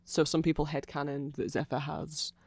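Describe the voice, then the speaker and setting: An androgynous, medium-pitched voice recorded dry and close, explaining fan lore in a rambling ASMR style. The speaker is amused and enthusiastic, easily flustered, and often doubles back to correct a point or lets a thought trail off. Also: flat delivery, normal speed, vocal fry, a little mellow, breathy edge, dry recording.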